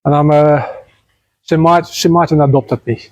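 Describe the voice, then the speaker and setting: A man's voice: a little high, fairly even intonation, normal speed, dry recording, lo-fi sound. Dutch-born man, dry close sound. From Netherlands. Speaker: guest, nature foundation president. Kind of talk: interview answers. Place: outdoors by the beach.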